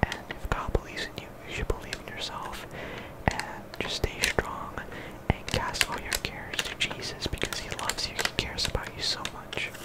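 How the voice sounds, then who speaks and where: A soft-spoken ASMR creator, sick at the moment, whispering a casual, earnest ramble full of slang and fillers. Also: moderate pace, low-quality recording. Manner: earnest encouragement.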